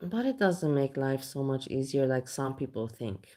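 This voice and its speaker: Low-voiced Austrian woman, rambling candid vlog chat. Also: lively intonation, moderate pace, warm tone. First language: German. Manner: rambling, candid, swears casually. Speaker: vlogger. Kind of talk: casual chat.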